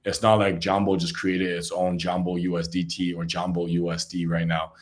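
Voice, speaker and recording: Low-voiced man answering interview questions. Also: flat delivery, normal speed. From Congo. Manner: conversational, often tags "right?". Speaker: startup CEO guest. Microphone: remote call.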